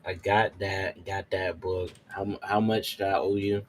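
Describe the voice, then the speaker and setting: A man's voice: low-pitched, flat delivery, measured pace, a little hiss or hum. A man chatting casually as a player in a tabletop roleplaying game.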